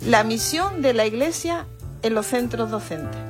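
A woman's voice: on the high side, animated, a little slow. A woman explaining things as a teacher in an informal interview. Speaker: religion teacher. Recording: music playing behind the voice. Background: background music.

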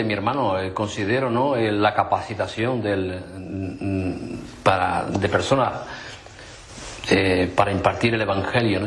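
A man interviewing a guest about faith in a conversational, hesitant way, often affirming and adding tag questions. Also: fairly low pitch. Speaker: interviewer, host.

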